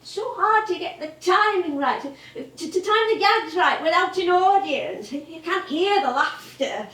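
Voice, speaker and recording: An actress playing an elderly English music hall comedienne on stage, chatty, rambling and nostalgic. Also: female voice, very high voice, expressive, a little slow, fairly bright tone, heavily acted. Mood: wistful. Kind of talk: theatrical stage monologue and dialogue. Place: theatre stage. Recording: echoey theatre, distant mic.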